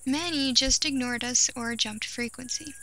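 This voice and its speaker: A woman narrates a first-person horror tale in a fairly high voice. Her delivery is measured and deliberate, broken by storyteller's pauses. Clear outdoor ambience of insects and birds runs behind her words. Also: sharp tone, low-quality recording. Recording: clear background noise of nature ambience.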